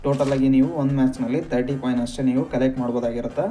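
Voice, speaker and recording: A man narrates a gaming how-to at a quick clip. His voice is very dark and soft-edged, staying even in pitch from phrase to phrase. Faint music plays behind him. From Karnataka, India. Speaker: gaming channel host. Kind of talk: scripted tutorial narration. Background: background music.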